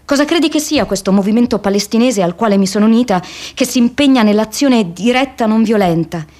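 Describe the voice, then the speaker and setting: An actress playing an earnest young American activist woman, reading her letter home as an impassioned radio theatre monologue with measured phrasing. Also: fairly high pitch, animated, a little mellow, lightly acted. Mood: impassioned, indignant.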